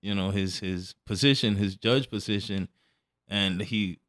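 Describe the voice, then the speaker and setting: A young man from Puerto Rico, a pro wrestler guest, chatting casually in relaxed street slang and often trailing off. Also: on the low side, a little slow. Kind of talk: casual podcast chat.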